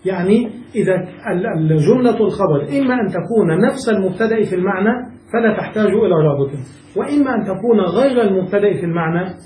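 A man's voice: sits high, quick pace, mellow, roomy sound, recorded from a distance, slight background noise, low-quality recording. A man teaching a classical Arabic grammar lesson, explaining step by step and checking that students follow. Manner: patient, asks checking questions to students.

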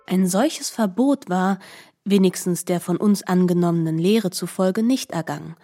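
A woman's voice: lowish voice, moderate pace, over music. A woman giving a composed, articulate reading of literary narration. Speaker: narrator of a literary radio adaptation.

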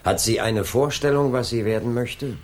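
An actor playing a probing, persistent middle-aged police inspector in a German radio drama. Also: male voice, mid-range voice, moderate pace, lightly acted. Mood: chatty, probing. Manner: inquisitive, insistent questioning.

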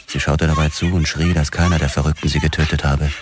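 An actor playing a haunted, hard-boiled 1940s police officer who narrates a crime radio drama. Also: male voice, extremely low pitch, little pitch movement, fast talker. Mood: haunted, grim. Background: prominent music.

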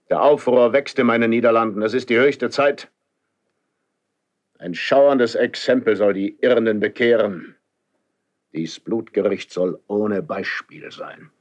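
Slow, low-voiced stern old king played by a man. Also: close to an everyday voice. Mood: cold, commanding. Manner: solemn, measured, declamatory. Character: stern, cold, lonely ageing king and father. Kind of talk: classical German stage verse radio drama.

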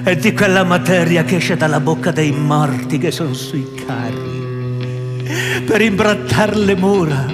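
An actor in an Italian radio drama playing a frightened commoner accused of a crime, stammering and pleading theatrically. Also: male voice, fairly high pitch, takes it slowly. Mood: broken, agonized confession. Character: terrified commoner man accused of plague-smearing, pleading under torture. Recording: long hall reverb, music underneath.

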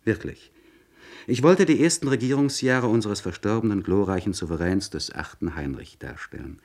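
An actor playing a troubled, introspective young aristocratic poet, speaking formally and eloquently in a literary radio monologue. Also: male voice, lowish voice, normal speed, a little mellow. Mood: wistful, fervent. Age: young adult. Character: troubled, introspective 26-year-old aristocratic English poet writing a letter. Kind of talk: literary radio monologue, letter read aloud.

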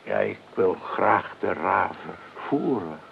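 An actor playing an eccentric, politely blunt freelance bodyguard in a radio play, with deadpan, matter-of-fact delivery. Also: male voice, sits low, takes it slowly, creaky, heavily acted. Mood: courteous. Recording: tinny, noisy old radio recording.

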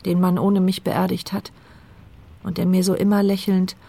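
A dry, sardonic woman thinking aloud in a radio play. Her voice is on the low side with a gravelly creak. She is paralysed and narrates her brooding inner monologue. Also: little pitch movement, moderate pace, dark tone. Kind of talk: scripted radio drama monologue.

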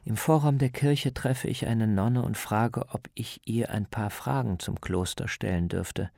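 A man's voice: lowish voice, unhurried, creaky, darkish tone, no room sound, clean studio sound. A man reporting and narrating a scripted radio documentary in the first person.

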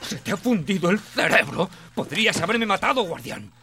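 A man's voice: sits high, sing-song, normal speed, pushed and bright, breathy edge, heavily acted. An actor playing a bold male toy space ranger who barks stiff military orders in a storybook reading. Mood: indignant. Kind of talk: storybook dialogue. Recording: music playing under the voice.

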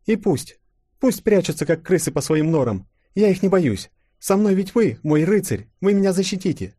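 A man voicing a young empress speaking calmly and proudly. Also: high voice, moderate pace, no room sound, studio quality, pitched above the performer's own voice. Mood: regal.

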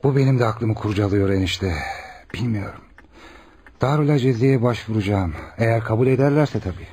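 An actor playing an earnest, caring young male doctor in a Turkish radio play, with an expressive, questioning manner. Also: on the low side, a little fast, a little hiss or hum, natural voice. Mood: concerned. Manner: expressive, probing, reassuring. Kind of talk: Turkish radio theatre acting.

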